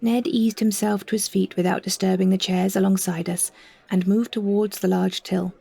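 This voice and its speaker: A woman narrates a short story at a measured pace of telling, in scripted literary prose. Her voice sits at an ordinary female pitch, with noticeable creak and a husky, breathy grain. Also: little pitch movement, mellow.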